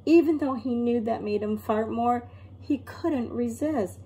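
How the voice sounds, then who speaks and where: A woman reading a picture book aloud as an expressive storyteller, acting out the tale and explaining as she goes. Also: on the high side. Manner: acts out characters and pauses to explain words. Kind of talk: expressive children's read-aloud.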